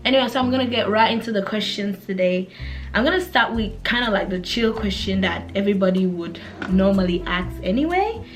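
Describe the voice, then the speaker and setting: A woman's voice: medium pitch, a little fast, faint music behind. Chatty young African woman vlogger. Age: 20s. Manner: chatty and relaxed, with fillers and restarts. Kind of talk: casual chat to camera.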